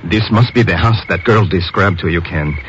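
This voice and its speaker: A grave man of medicine, played by an actor, with a very deep voice. He speaks in measured 1950s radio-drama style. The recording is muffled and hissy. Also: lightly acted. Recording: muffled old radio recording, clear hiss.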